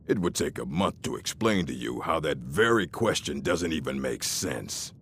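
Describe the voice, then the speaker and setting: An actor playing a gruff, curmudgeonly old orc librarian in a video game, deep and gravelly, with a grumbling, condescending, scholarly manner. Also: male voice, expressive, normal speed, fairly bright tone, slight background noise, exaggerated voice. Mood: solemn, lecturing. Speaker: game character.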